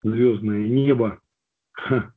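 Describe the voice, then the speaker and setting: A man with a slight cold speaks in a lowish, husky voice, reflective and unhurried, pausing on fillers as he tells his own story to a group. He comes through a tinny, phone-like video call line. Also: steady tone. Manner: slow, reflective, with hesitant fillers. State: has a cold. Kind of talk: personal testimony talk.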